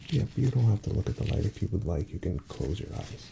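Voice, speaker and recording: A soft-spoken man, at times whispering, gently playing a reassuring doctor in an unhurried ASMR medical exam roleplay. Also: low voice, lively intonation, vocal fry, noisy background.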